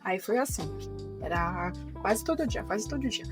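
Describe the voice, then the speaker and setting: Young woman w foreign accent chatting about her life, lively, jumps way up when quoting herself, soft music under. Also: mid-range voice, moderate pace. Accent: foreign, learned Portuguese as adult. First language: not Portuguese. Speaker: vlogger. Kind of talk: casual storytelling.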